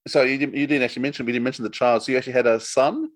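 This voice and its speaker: A man's voice: mid-range voice, steady tone, racing, dry recording. A man asking questions as a podcast interviewer in a casual way, rephrasing them as he goes.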